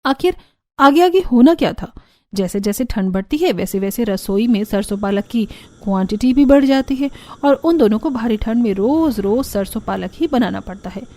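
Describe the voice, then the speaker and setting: A woman's voice: fairly high pitch, animated, moderate pace, a little mellow, no echo. A woman narrating a scripted cartoon family story.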